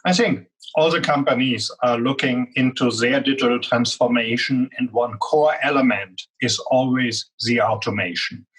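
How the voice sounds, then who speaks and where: A man's voice: mid-range voice, moderate pace, hardly any pauses, fairly bright tone, breathy edge, poor audio. A man with a strong German accent, speaking as a guest executive in an interview and explaining with frequent "um" fillers. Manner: many "um" fillers, earnest explaining. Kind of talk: business interview.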